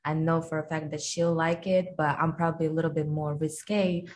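A young woman from Kazakhstan, a guest on a casual podcast, talking about her life and work in a relaxed way with many fillers. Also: low voice, a little fast, a little hiss or hum.

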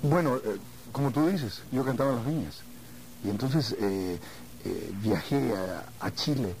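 A mature man from Argentina reminiscing in a conversational interview, haltingly, repeating words as he searches for the next. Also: mid-range voice, expressive, a little mellow, a little hiss or hum, low-quality recording. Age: middle-aged or older. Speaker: singer being interviewed.

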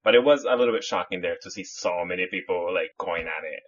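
A man from Chile chats on a podcast in a very low, husky voice, rambling through restarts and fillers. The audio is muffled and lo-fi, as if from a modest setup. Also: fast. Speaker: podcast guest. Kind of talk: casual podcast chat.

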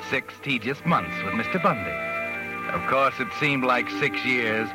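An actor playing a knowing, sardonic narrator who insinuates himself into an old-time radio suspense tale. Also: male voice, mid-range voice, animated, unhurried, loud music behind the voice, close to an everyday voice, poor audio. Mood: wry. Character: sardonic, insinuating noir narrator addressing a scheming woman. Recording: vintage radio recording.

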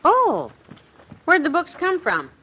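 An actress playing a sensible, mock-stern middle-aged wife in a vintage radio comedy. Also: female voice, very high voice, wildly swooping pitch, slow pace, sharp tone, dull audio, heavily acted. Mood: patient, scolding. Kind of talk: 1950s radio comedy acting.

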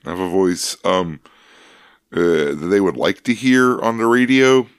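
A young man with a notably low, deep voice trades crude jokes in a relaxed podcast chat. The sound is dry and close, with no room around it. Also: a little slow, smooth, no room sound. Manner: joking, crude banter. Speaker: podcast co-host. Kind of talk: casual chat.

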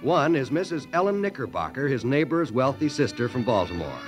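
A man's voice: mid-range voice, very animated, brisk, over music. A man announcing and reading ads in a polished, emphatic 1940s radio style.

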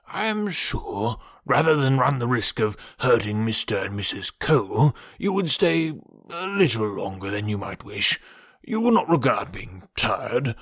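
Man acting a fretful, fussy old gentleman. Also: medium pitch, vocal fry, husky, no echo, clean studio sound, exaggerated voice. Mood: apologetic, concerned. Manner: fussy, mournful, deliberate. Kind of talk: audiobook character voice from a classic novel.

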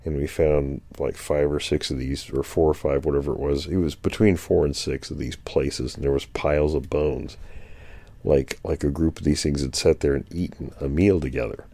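A man's voice: a bass voice, steady tone, normal speed, warm tone, dry recording. A man talking casually on a podcast, recounting field finds in a rambling way with many restarts. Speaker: guest anthropologist.

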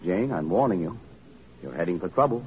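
A protective, insistent gentleman in a vintage radio drama. His low voice swings widely as he speaks with formal urgency. The recording is dull, with faint noise. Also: expressive, brisk. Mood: urgent warning. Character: worried, protective gentleman friend, skeptical and insistent. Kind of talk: old-time radio drama acting.

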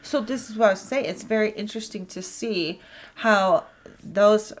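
A woman co-hosting a podcast while she has a head cold, so she sounds a little congested. She tells her stories in an animated way, laughs often and restarts words. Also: mid-range voice, moderate pace. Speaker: podcast co-host. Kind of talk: casual chat.

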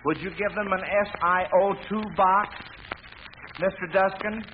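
Formal guy hosting an old 40s radio quiz, high voice, dull hissy recording. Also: steady tone, measured pace. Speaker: quiz show host. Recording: noisy lo-fi vintage radio broadcast.

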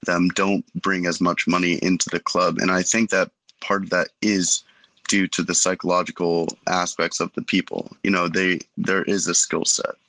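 A man's voice: low-pitched, little pitch movement, moderate pace, fairly bright tone, no echo, low-quality recording. A man co-hosting a podcast, musing in a hesitant, casual way. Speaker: podcast co-host. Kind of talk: casual chat.

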